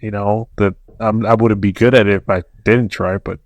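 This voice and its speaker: A man's voice: fairly low pitch, flat delivery, normal speed, no room sound. A man from Mexico, a podcast host, talking loosely and profanely with plenty of laughter. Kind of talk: casual chat.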